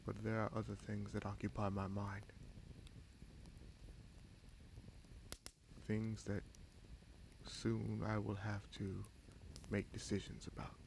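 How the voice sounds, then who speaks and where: A man playing a vengeful, brooding bastard prince and sorcerer in a fantasy audio roleplay, speaking in a measured, solemn, halting way. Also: on the low side, completely flat delivery, creaky, a little mellow, slight background noise, rough recording. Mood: grave, brooding.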